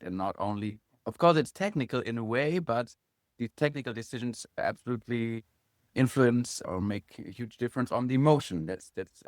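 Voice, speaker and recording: A man hosting a casual interview as a teacher, speaking in an affirming way with halting phrasing. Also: mid-range voice, no echo, studio quality. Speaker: interviewer and music production teacher.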